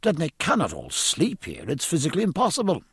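Learned gentleman landsman performed by a male narrator, formal, curious, precise, animated pitch with a slightly husky grain. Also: brisk, no echo, studio quality, exaggerated voice. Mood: incredulous. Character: inquisitive, learned gentleman, a puzzled landsman aboard ship. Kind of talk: audiobook character acting.

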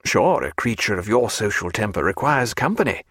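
A reserved gentleman doctor, as a male reader plays him, formal and measured yet lively in pitch. Dry, close recording. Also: no echo, exaggerated voice. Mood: earnest.

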